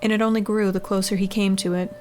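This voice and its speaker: A woman's voice: medium pitch, little pitch movement, quick pace, a little mellow. A woman reading a scary short story as a scripted audiobook-style narration. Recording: clearly noisy background ambience.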